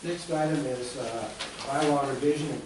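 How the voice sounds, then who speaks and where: A man chairs a town council meeting, reading motions and calling for votes in a brisk procedural rhythm. He sits well back from the microphone in a hard, heavily echoing chamber, with a steady hiss of room noise behind him. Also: medium pitch. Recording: echoey chamber, distant mic, background noise.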